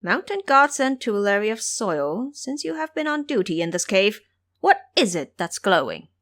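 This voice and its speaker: A cunning monkey hero played by a woman in an audio drama. He is brash and boastful, with a sly theatrical delivery that swings widely in pitch. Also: sing-song, normal speed, fairly bright tone, no room sound, studio quality. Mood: shrewd, commanding. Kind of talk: audio drama character acting.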